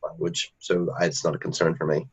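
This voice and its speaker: A man with a very low, even voice answers interview questions earnestly, often restarting mid-sentence. His tone is husky and his delivery flat. The audio sounds dull and lo-fi. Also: fairly quick. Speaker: interview guest. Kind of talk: conversational interview.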